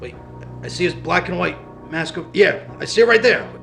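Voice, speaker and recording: A man's voice: high voice, lively intonation, brisk, gravelly, a bit bright, full character voice. A man playing a smug, commanding gangster boss in an audio drama, with an imperious, businesslike manner. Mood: angry, alarmed. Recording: music behind the voice.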